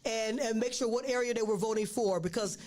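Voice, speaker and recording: A woman's voice: a little high, fairly quick, a little mellow. Woman host, casual chat, rambling, restarts words, frequent ums. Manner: rambling, repeats words, many fillers. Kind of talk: casual interview talk.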